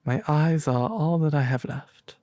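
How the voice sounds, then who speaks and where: A man voicing a sorrowful, compassionate prince statue in a storybook, soft and breathy, gently pleading. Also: on the high side, expressive, unhurried, no echo, clean studio sound. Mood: sad, resolute.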